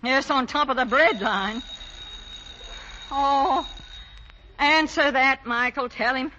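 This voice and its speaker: A woman's voice: high voice, takes it slowly, bright, forceful tone, slight background noise, exaggerated voice. An actress playing a fretful, middle-aged mother who fusses and scolds in 1940s radio style. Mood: exasperated scolding. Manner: fussing, exasperated. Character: fretful, scolding, loving mother of grown sons. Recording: muffled old 1940s radio recording.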